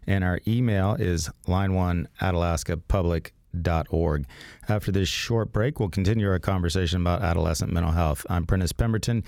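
A man hosting a radio call-in health show, his voice raspy from a sinus infection, speaking in a relaxed way and reading phone numbers slowly. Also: low-pitched, no room sound, clean studio sound. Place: radio studio.

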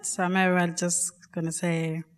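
A woman from South Sudan with a South Sudanese accent, telling a personal story on stage in a halting, unhurried way. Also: on the low side, flat delivery, long reverb tail. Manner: slow, halting, with restarts. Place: large performing arts hall.

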